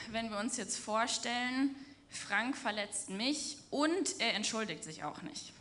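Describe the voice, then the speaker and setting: A woman's voice: fairly high pitch, bright, forceful tone, slight background noise. A young adult woman preaching in an earnest, explanatory way and illustrating her points with everyday examples. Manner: earnest, explanatory, acts out quoted speech. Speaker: preacher in a church. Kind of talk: sermon with personal anecdote.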